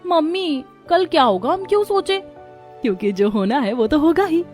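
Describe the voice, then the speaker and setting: A woman's voice: high-pitched, lively intonation, moderate pace, a little mellow, soft background music. A woman playing a carefree, spoiled young daughter in a moral story, with a girlish, coaxing manner. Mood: breezy, coaxing. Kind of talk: Hindi moral story voice acting.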